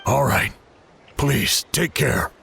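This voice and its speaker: An actor voicing an excitable, earnest young man in a video game. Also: medium pitch, slow, gravelly, a little hiss or hum, exaggerated voice. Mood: eager, earnest. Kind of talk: scripted video game voice acting.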